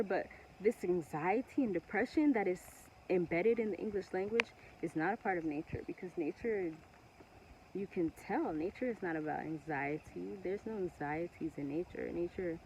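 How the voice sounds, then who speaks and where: A woman from Togo whose first language is Ewe, talking earnestly and passionately to camera with many pauses and restarts. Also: deep voice, slight background noise, poor audio. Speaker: vlogger. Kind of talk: casual monologue to camera.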